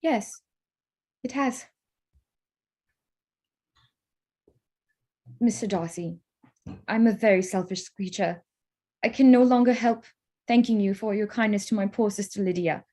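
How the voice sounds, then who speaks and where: A woman playing a witty, spirited young gentlewoman in a staged reading of a Regency romance, arch and composed. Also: mid-range voice, normal speed, natural voice. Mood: guarded, earnest. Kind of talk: staged reading of a period drama.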